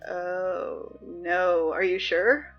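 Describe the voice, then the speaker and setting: A woman playing a weary, sardonic high school morning announcer in a scripted audio drama. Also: extremely slow, lo-fi sound, soft background music, full character voice. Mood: uneasy. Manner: sardonic, deadpan, exasperated asides.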